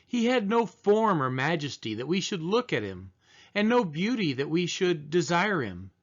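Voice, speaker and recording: A man reading the Bible aloud solemnly, in measured, deliberate phrases with pauses. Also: a little high, very animated. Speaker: scripture reader. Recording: dull, lo-fi sound.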